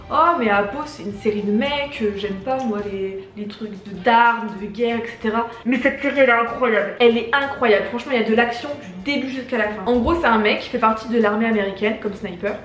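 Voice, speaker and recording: A woman's voice: on the high side, echoey room. Slangy, chatty young woman. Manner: slangy, chatty, swears casually. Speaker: YouTuber. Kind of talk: casual chat.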